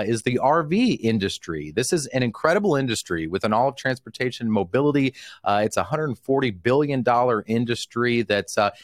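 A man's voice: lowish voice, no room sound, clean studio sound. A man hosting an interview show, talking enthusiastically with frequent fillers and restarts. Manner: enthusiastic, lots of fillers and repeated words. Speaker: host.

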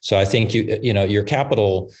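Deep voiced guy as podcast guest, business talk, halting and wandering, says you know a lot. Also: darkish tone. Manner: halting, discursive, frequent "you know" tags. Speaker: investment firm partner, panel guest. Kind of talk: thoughtful interview answer on a business podcast.

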